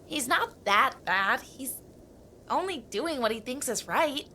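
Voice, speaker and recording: A woman's voice: sits high, animated, slow, sharp tone, a little hiss or hum, exaggerated voice. A woman playing a timid, bookish young male mountain troll, hesitant and earnest, in a family audio drama. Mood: earnest, wistful.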